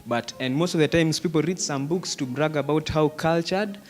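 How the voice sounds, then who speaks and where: A man's voice: on the high side, normal speed. A young man from Kenya giving an impassioned, argumentative comment from the audience at a panel discussion, slipping in Swahili phrases. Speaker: audience member asking a question. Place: theatre hall at a panel discussion.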